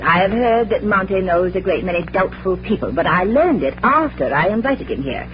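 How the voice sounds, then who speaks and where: An actress playing a fluttery, vain, wealthy middle-aged society widow in an effusive, genteel old-time radio style. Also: female voice, mid-range voice, animated, moderate pace, lightly acted. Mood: confiding. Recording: dull, noisy old radio recording with hum.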